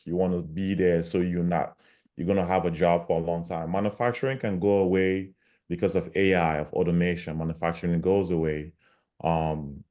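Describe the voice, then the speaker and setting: A man from Cameroon with a deep, low voice presents over a video call. He talks casually and repeats himself for emphasis, like a middle-aged guest speaker. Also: mellow. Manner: repetitive, conversational, emphatic. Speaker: guest speaker on job searching.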